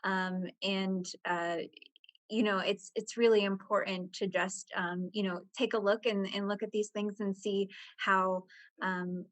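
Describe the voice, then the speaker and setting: A woman presenting as a reporter, explaining in a conversational, halting way as she thinks aloud. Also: mid-range voice, little pitch movement, no echo.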